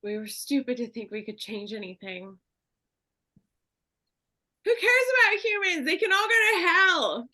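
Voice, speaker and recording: A woman's voice: medium pitch, fairly even intonation, normal speed, few pauses, a little mellow, natural voice. A woman playing an angry, disillusioned rebel in a dramatic script read. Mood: bitter, defiant.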